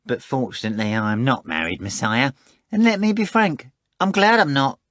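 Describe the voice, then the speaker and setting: A man voicing a boastful, excitable male cat who talks in a shrill, theatrical rush. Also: normal speed, close to an everyday voice. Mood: pleading. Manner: shrill, breathless, pleading and theatrical.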